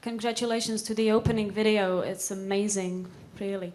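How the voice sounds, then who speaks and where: A woman's voice: medium pitch, monotone, fairly quick, darkish tone, slight background noise. A woman journalist with a Czech accent, speaking up at a press conference. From Czech Republic.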